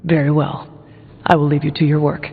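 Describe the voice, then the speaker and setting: An actor playing a stoic, solemn warrior hero in a video game, speaking in terse, formal, earnest phrases. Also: male voice, a little high, flat delivery, moderate pace, husky, in a large hall, phone-quality sound. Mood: polite, reserved. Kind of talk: video game character dialogue.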